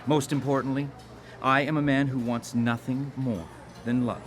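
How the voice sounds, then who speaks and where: A man's voice: mid-range voice, steady tone, normal speed, natural voice. A man playing a naive, pious, awkward nice guy on a date, hesitant and stammering, in a live radio drama.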